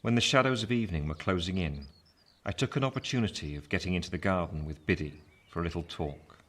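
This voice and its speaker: An actor playing a grown gentleman looking back on his life, narrating a radio play in a measured, reflective way. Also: low voice, expressive. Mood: solemn, tender. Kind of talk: scripted first-person narration.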